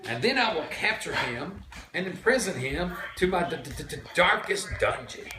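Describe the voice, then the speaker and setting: A man's voice: high-pitched, lively intonation, moderate pace, over music, voice pushed up. A man voicing a furious, scheming lord who stutters out his commands in a storybook tale. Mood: scheming, commanding. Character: simply furious stuttering old-England lord chamberlain, scheming villain. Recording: echoey noisy home recording.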